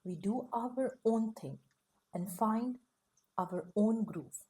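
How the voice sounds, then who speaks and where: A woman gently reading a bedtime picture book aloud, soft and soothing with a sing-song rhythm. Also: fairly high pitch, slow. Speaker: storyteller.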